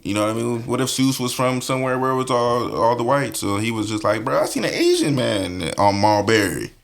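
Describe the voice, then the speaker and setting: A man's voice: medium pitch, animated, brisk, creaky. A man co-hosting a casual podcast chat, laid-back and slangy, telling stories. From California. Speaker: podcast co-host.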